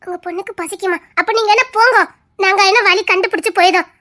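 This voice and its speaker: A performer playing a nervous young man who keeps questioning an old woman in a dark forest. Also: extremely high pitch, fast. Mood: uneasy. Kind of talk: horror skit acting.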